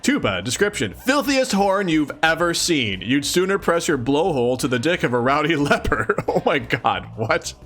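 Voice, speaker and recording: A man's voice: fairly high pitch, big pitch swings, moderate pace, few pauses, fairly bright tone, soft background music. A man doing a casual live read-along of a comic, reading expressively and adding mock-incredulous asides. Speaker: streamer reading a comic aloud.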